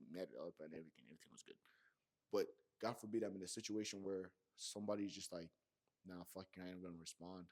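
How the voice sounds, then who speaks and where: A young man from Nigeria with a Yoruba accent, telling a personal story to camera in a slangy, animated way with many fillers. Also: low-pitched. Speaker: vlogger. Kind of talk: casual monologue to camera.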